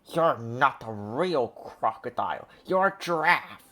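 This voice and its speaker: A man's voice: medium pitch, expressive, takes it slowly, sharp tone. A man voicing a mean storybook crocodile who snaps curtly. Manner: snapping, curt.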